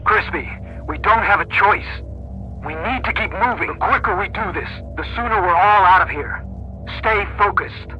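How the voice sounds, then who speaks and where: A man playing a steady, decisive special forces captain in a video game, giving brisk military commands. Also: sits high, muffled recording, faint music behind, close to an everyday voice. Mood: tense, resolute. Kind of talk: video game cutscene acting.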